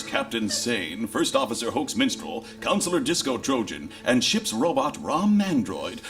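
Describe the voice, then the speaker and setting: Space-serial announcer: grandiose, lively swings, melodramatic scripted narration over music. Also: male voice, mid-range voice. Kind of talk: scripted serial recap narration, sci-fi comedy.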